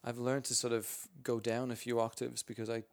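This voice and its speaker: Brief: booming male spokesperson, gravelly edge, rambly and upbeat, mid pitch. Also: brisk. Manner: energetic, rambling, many restarts. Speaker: game franchise spokesperson. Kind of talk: casual interview answers.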